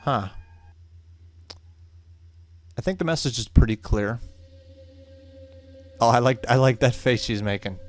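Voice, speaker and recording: A man's voice: mid-range voice, moderate pace. A man hosting a gaming video with casual, reactive let's play commentary.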